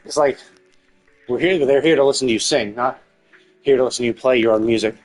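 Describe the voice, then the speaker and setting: Guy streaming a story game, rambles and thinks out loud, mid pitch, bit of music behind. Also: a little fast. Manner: mild casual swearing. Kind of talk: casual live commentary on a story game. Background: game music with guitar.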